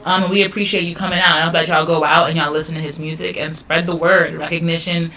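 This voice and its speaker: A woman's voice: sits low, steady tone, quick pace, sharp tone, poor audio. A woman hosting a podcast interview in a chatty, encouraging, slangy way. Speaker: podcast host.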